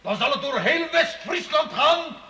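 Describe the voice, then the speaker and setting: An actor playing a loud, good-hearted, rebellious medieval townsman in a radio drama, outspoken. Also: extremely high pitch, expressive, measured pace, distant mic, heavily acted. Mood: defiant, outraged. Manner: impassioned, outspoken. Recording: echoey, off-mic amid crowd.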